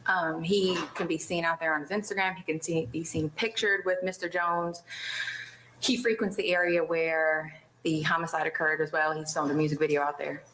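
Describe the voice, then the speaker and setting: A woman's voice: sits low, fairly even intonation, moderate pace, a bit bright. A woman giving formal courtroom testimony as an investigator. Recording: echoey courtroom, faint noise.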